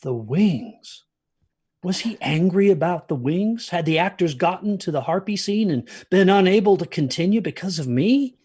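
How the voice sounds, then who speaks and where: A man's voice: high voice, animated, normal speed, darkish tone, husky. A man acting an anxious, haunted narrator of a dramatic first-person ghost story, hesitant and brooding in his delivery. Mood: anxious, agitated. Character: anxious haunted man recalling a stormy night.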